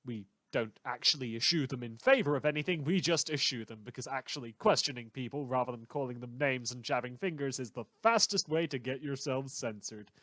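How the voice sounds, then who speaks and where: A man's voice: mid-range voice, fairly quick, few pauses, a bit bright, no echo, natural voice. A man playing a sardonic, irreverent radio news host in a satirical audio drama, rambling wryly with theatrical emphasis and hesitant asides. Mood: wry, mocking.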